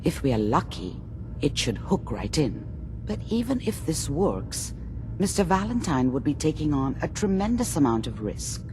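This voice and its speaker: Low-voiced, exacting scientist. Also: female voice, sing-song, background noise. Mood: clinical, cautious. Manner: brisk, formal, exasperated precision. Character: stern, exacting female memory scientist doctor. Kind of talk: video game character acting.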